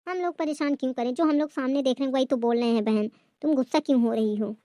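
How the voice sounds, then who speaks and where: A woman's voice: sits high, quick pace, warm tone, no echo, studio quality, natural voice. A woman playing a fault-finding, gossipy auntie with a complaining manner in a family comedy skit.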